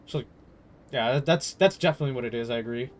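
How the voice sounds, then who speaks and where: A man's voice: medium pitch, quick pace. A young adult man streaming a game and chatting casually, rambling and emphatic, swearing freely. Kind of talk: casual live stream chat.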